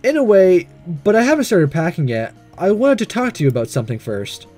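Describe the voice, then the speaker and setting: A man voicing an earnest, nervous, lovestruck male high school student in a visual novel, speaking hesitantly. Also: sing-song, brisk, a little mellow, soft background music. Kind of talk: reading visual novel dialogue aloud.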